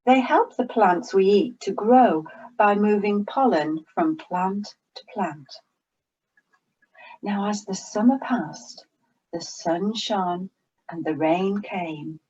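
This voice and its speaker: A woman reads a children's picture book aloud. She takes her time, letting her pitch rise and fall expressively, and her voice is a little breathy. The recording sounds somewhat dull. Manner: slow, expressive storytelling. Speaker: storyteller. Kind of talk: reading a children's story aloud.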